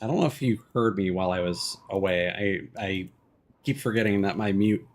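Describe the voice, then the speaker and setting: A man's voice: low voice, a little fast, a little mellow. A man hosting a livestream, chatting casually and commenting on the video game he is playing. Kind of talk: casual gaming stream chat and commentary. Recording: game music behind the voice. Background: video game music.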